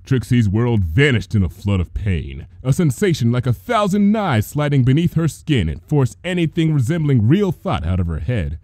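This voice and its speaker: A man's voice: mid-range voice, big pitch swings, normal speed, few pauses, dark tone, no room sound, clean studio sound. A man reading a story aloud as a theatrical, intense narrator. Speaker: fan fiction reader. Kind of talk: dramatic scripted story narration.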